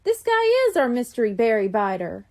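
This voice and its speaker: Lady narrator doing a keen little boy in a kids book, higher voice, bouncy. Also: wildly swooping pitch, normal speed. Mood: eager. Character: eager boy, curious and hopeful.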